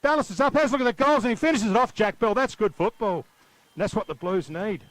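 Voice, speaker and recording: An Australian man doing live commentary on a local football match, calling the play with quick excitement. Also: high-pitched, no room sound.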